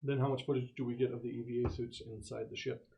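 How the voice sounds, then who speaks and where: A man's voice: mid-range voice, level tone, fast, creaky, warm tone. A man playing a tabletop game, asking probing, matter-of-fact questions in a conversational style.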